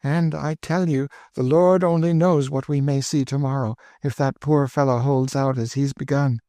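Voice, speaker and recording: A man's voice: medium pitch, fairly even intonation, normal speed, mellow, dry recording, voice pushed up, lightly acted. A man voicing a weary, despairing woman who speaks with dry bitterness. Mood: bitter.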